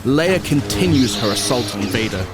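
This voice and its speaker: Male narrator, medium pitch, music behind. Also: animated, normal speed. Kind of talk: scripted audio drama narration.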